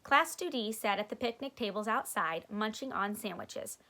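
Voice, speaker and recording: A woman's voice: mid-range voice, animated, moderate pace, pushed and bright. A woman teacher reading a children's storybook aloud, expressively, with explanatory asides for young listeners.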